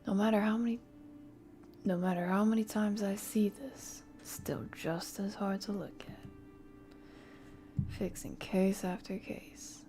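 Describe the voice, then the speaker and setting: A woman acts out a brooding investigator from a visual novel, a tired and grumpy man. The voice is hushed and reluctant, with slow, creaky phrasing and music behind it. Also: medium pitch, close to an everyday voice. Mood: weary brooding. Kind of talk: visual novel character reading.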